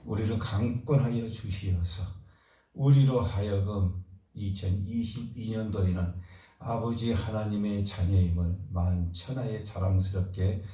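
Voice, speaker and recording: A low, dark-toned man preaching with a slight hoarseness from a cold. He moves between a fervent formal prayer and relaxed, chatty announcements. The sound is roomy and distant, caught from afar in a church hall. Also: a little slow. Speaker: pastor. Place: church sanctuary.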